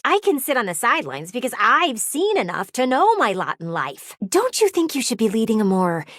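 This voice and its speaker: A woman's voice: fairly high pitch, dry recording, studio quality. A woman voicing a nosy, prim, easily offended lady window in a video game, with a theatrical, gushing and condescending manner. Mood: concerned, meddling. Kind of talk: animated video game character acting.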